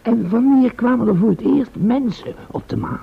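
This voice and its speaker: A man's voice: extremely high pitch, animated, normal speed, gravelly, heavily acted. A man playing a naive, polite and inquisitive character in a comic radio play, eager and a little fussy. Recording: tinny, noisy old radio recording.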